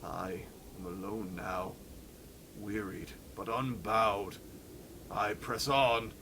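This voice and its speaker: A man's voice: fairly low pitch, steady tone, noisy background, voice pushed down. A man voicing a weary, vengeful lone warrior in a solemn, dramatic read-along of a game journal. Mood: grim, weary. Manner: solemn, dramatic, slow declaiming.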